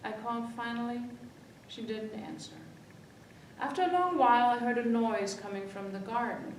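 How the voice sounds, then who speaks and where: A woman reading aloud to children as a library story-time reader, expressively acting out the dialogue. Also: normal speed. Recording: echoey room, distant mic, faint rumbly noise.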